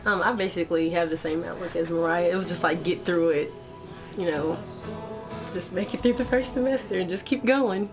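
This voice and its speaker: Brief: late-teen woman, lower voice, earnest and measured, clear music bed behind her. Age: teens. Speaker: college freshman guest. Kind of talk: casual chat.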